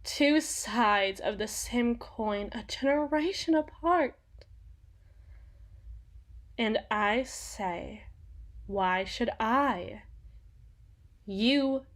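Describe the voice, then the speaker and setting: A woman playing a haughty, possessive young empress in a theatrical ASMR roleplay, speaking in an imperious, mocking manner with dramatic pauses. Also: fairly high pitch. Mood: bitter, disdainful, then cutting, quiet.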